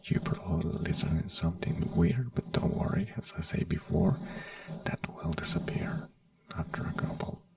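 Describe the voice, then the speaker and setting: A man whispering slowly and gently in an ASMR roleplay as a neurologist, with halting, simple phrasing. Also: low-pitched, sing-song, creaky, dry recording, distant mic. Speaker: ASMR creator playing a neurologist.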